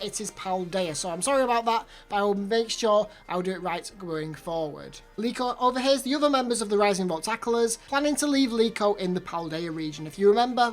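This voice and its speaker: A woman hosting an anime review channel, chatting casually through an episode recap while her voice is slightly off from hay fever. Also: medium pitch. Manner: chatty recap with repeated words.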